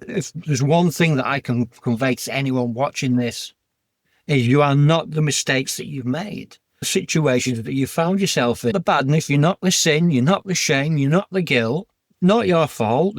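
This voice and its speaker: A man's voice: medium pitch, measured pace, no room sound. Man, Yorkshire accent, mid-range voice, earnest and plain-spoken, dry close recording. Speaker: podcast guest. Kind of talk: candid personal interview.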